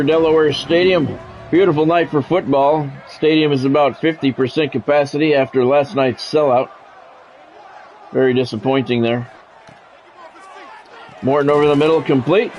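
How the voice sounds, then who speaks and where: A man doing measured, broadcast-style football play-by-play over a video game, in clipped phrases with casual gamer asides. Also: a little high, steady tone, a little mellow, lo-fi sound, soft background music.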